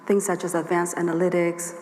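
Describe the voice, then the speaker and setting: A woman with a hoarse voice giving a workshop presentation at a conference, explaining her points steadily and speaking straight to the audience. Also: on the low side, flat delivery, brisk, a little mellow, a little hiss or hum. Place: conference hall. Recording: echoey hall with long reverb, faint ambience.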